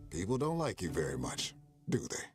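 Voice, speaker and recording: An actor voicing a stern male narrator for a game trailer, speaking in a slow, measured, ominous way over loud music. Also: low voice, huge pitch swings, full character voice. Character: grave, stern male storyteller voicing distrust.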